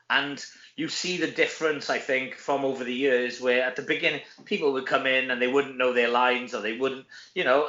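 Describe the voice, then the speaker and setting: Male, middle-aged, Welsh. Chatty, informal interview guest with a forward, bright tone; roomy, lo-fi sound. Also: medium pitch. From Wales. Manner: chatty, informal, frequent tag questions. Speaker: guest, actor. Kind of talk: casual interview chat.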